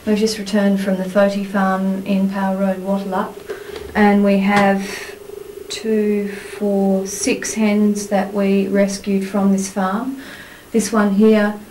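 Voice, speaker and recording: An Australian woman activist gives a formal, measured statement for a camcorder, hesitating with fillers as she describes things. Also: mid-range voice, completely flat delivery, mellow, a little hiss or hum. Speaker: animal rights activist. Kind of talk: spoken statement for camera. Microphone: camcorder mic. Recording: echoey 1990s camcorder audio.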